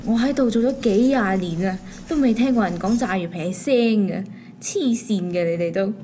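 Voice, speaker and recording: An actress playing a chatty, teasing middle-aged noodle shop waitress in a radio drama. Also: female voice, mid-range voice, moderate pace, dark tone, slight background noise, natural voice. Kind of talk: Cantonese radio drama acting.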